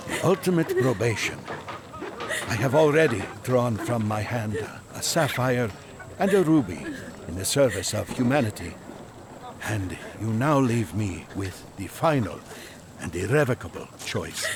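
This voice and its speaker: An actor playing an aged, devout Magian seeker, gentle but resolute, speaking in solemn, halting oratory in an audio drama. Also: male voice, mid-range voice, background noise.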